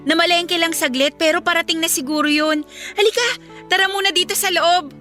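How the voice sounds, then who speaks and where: A bright, high-voiced younger sister. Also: female voice, steady tone, a little fast. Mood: cheerful, welcoming. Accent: Filipino. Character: friendly younger sister, graduating student, young. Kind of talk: Filipino radio drama acting. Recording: music behind the voice.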